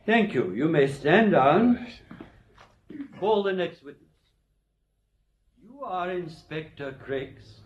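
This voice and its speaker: Radio drama coroner: a formal, probing British man, higher-pitched and lively, in an echoing room. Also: slow pace, pauses often, lo-fi sound. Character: brisk formal male coroner questioning witnesses. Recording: roomy, echoing courtroom-like sound.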